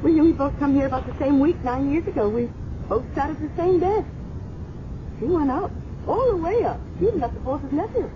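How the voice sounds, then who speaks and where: An actress playing a candid, rueful working woman in a 1970s radio drama, expressive and confessional. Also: high voice, fairly quick. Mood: wistful, gossipy. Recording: dull, noisy old radio broadcast.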